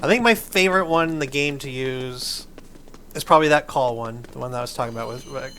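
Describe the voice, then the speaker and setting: A man's voice: mid-range voice, quick pace, background noise. A man hosting a gaming video, explaining things patiently in a playful, conversational way. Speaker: host of a family gaming video. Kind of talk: casual chat over gameplay. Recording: game music behind the voice. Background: video game music.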